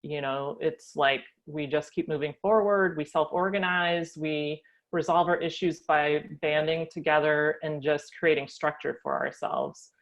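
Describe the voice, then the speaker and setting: Low-voiced woman telling her life story. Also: animated, a little slow. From United States. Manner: thoughtful, frequent ums. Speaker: guest. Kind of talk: reflective personal storytelling.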